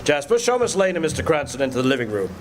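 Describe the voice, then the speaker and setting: Man acting as imperious, genial mansion host, higher-pitched, very lively intonation, old-time radio drama. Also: rapid-fire, a little hiss or hum. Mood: welcoming. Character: genial but imperious master of eerie mansion. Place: live radio-play performance at a cafe.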